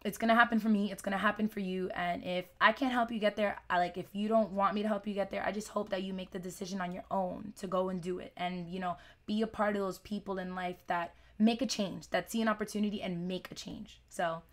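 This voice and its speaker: A woman's voice: medium pitch, steady tone, fast, few pauses. Chatty woman fitness coach going live. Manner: casual, rambling, many fillers. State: losing her voice. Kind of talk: live webinar wrap-up.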